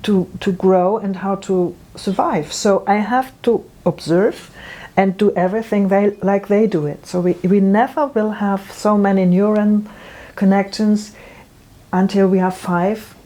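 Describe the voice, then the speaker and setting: A woman practitioner answering interview questions in a halting, earnest way, often repeating words. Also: medium pitch, steady tone, dark tone. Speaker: natural medicine practitioner, guest.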